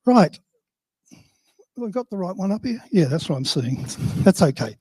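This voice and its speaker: An older Australian man speaks in a high-set voice with a soft, mellow tone. The sound is dry and close, with very little room in it. He presents informally to a conference, plain-spoken and wryly self-deprecating. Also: big pitch swings, moderate pace, slightly rough, no room sound.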